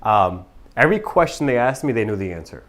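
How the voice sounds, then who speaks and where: A man in his thirties, originally from Pakistan, speaking in a fairly low voice. He tells a personal story as an interview guest, earnest and reflective, and often checks in with "right?". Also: sing-song, fairly quick, fairly bright tone.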